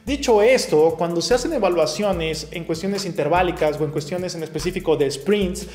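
High-voiced young man explaining supplements methodically. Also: moderate pace, a little mellow, echoey room, faint music behind. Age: young adult. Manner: clear, methodical, answers questions one by one. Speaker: nutrition educator. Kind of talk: explainer video to camera. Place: small room at home. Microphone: camera facing him at close range.

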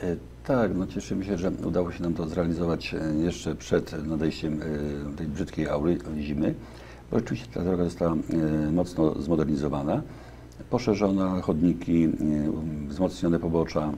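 A man in his fifties, a deputy county official, answering interview questions in a local TV studio on a lapel mic, speaking haltingly with many fillers and restarts. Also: rumbling low, steady tone, warm tone, slight background noise.

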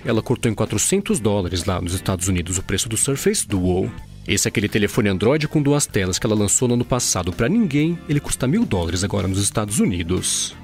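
Brazilian man, low voice, lively pitch, rapid casual tech news, soft music under. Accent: Brazilian Portuguese. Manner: casual, fillers like "né" and "aí". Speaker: tech news podcast host. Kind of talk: daily tech news roundup.

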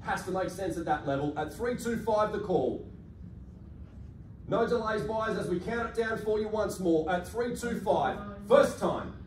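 A man's voice: sits high, expressive, measured pace. An Australian man working as an auctioneer, calling a live property auction in polished, projected sales patter, recorded across a large function room. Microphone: far camera across the room. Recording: echoey room, distant mic, faint noise.

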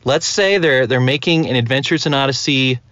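A man's voice: mid-range voice, a little fast, a bit bright, no room sound, muffled recording. A man hosting a casual podcast chat, asking questions in a teasing, joking way. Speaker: podcast host.

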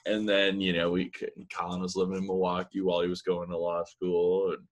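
Low-voiced man, thirties, laid-back rambling talk, lots of fillers, laptop mic video call. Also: fairly quick. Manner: relaxed, rambling, many fillers. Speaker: musician guest. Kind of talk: casual video-call interview. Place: small room with blinds, indoors. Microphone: laptop webcam mic on video call.

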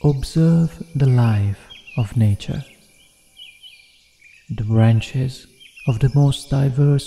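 A man narrating a guided meditation in a soothing, measured manner with deliberate pauses. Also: mid-range voice, vocal fry, mellow, slight background noise. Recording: long reverb, New-age music behind. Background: New-age music.

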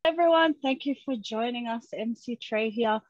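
Casual female host, forties, chatty online interviewer on a home video call, slightly higher voice. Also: normal speed, muffled recording.

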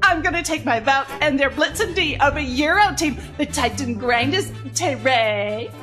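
Over light music, an actress plays a perky female TV weather presenter on a space colony. Her voice is very high, gushing and chummy, in a parody forecast for a radio play. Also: lively intonation, moderate pace. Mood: bubbly, excited. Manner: bubbly, chummy. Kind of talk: parody TV weather report in audio drama.

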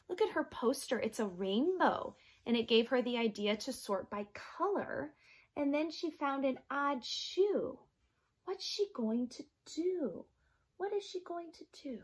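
A woman in her thirties reading expressively to young children, with chatty, questioning asides in a sing-song manner. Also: high voice. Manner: animated, sing-song, asking the listeners questions. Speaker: children's read-along teacher. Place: small child's bedroom, indoors.

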